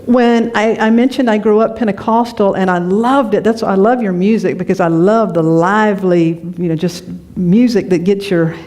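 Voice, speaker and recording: A woman minister from North Carolina with a lilt delivers a sermon in a church sanctuary. She talks in a lively, conversational way, mixing personal stories with affirmations and breaking into laughter. Her voice sits at an ordinary middle pitch for a woman. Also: normal speed, few pauses. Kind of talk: sermon with storytelling.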